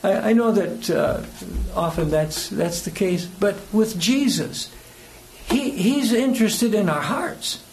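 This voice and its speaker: A man's voice: high-pitched, expressive, takes it slowly. An older man with an American accent giving a conversational sermon, rambling and hesitant as he tells personal anecdotes. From United States. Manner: hesitant, rambling, chuckles between phrases. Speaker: preacher. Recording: clear background noise.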